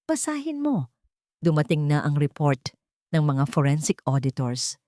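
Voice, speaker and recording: A woman plays a patient, devoted male lawyer in a romantic audio story. He speaks gravely and with measure, in a lowish voice, recorded dry and close. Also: big pitch swings, warm tone, no room sound. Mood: calm, serious. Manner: serious, measured.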